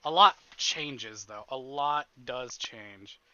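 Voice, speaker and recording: A man livestreaming a game with casual, expressive commentary, swearing freely and muttering to himself as he talks to chat. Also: mid-range voice, takes it slowly, no room sound, muffled recording. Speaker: live streamer.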